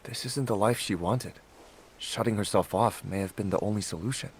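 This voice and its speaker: A man's voice: on the low side, normal speed, slight background noise, natural voice. A man playing a curious, understanding young adventurer in a video game, speaking in a reflective, inquisitive manner. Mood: sympathetic, reflective.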